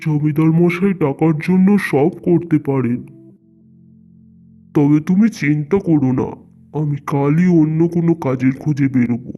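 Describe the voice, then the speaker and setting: A man's voice: a little high, moderate pace, mellow, faint music behind, natural voice. A man voicing a poor, kind-hearted farmer and father in a cartoon, earnest and plaintive. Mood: reassuring.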